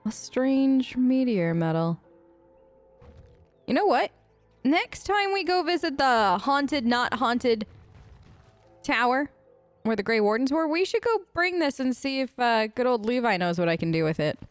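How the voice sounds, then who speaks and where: A woman's voice: sits high, very animated, unhurried, long pauses, dry recording, faint music behind. A woman doing casual, chatty role-play commentary as she plays a video game in character. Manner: conversational, playful, chatty. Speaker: gamer playing in character.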